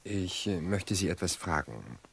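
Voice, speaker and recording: An actor playing a discreet, polite son-in-law in a radio play, choosing his words haltingly. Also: low-pitched, expressive, vocal fry, fairly bright tone, slightly rough, full character voice. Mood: discreet, hesitant. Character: discreet, hesitant son-in-law asking a delicate favour. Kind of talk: German radio play acting.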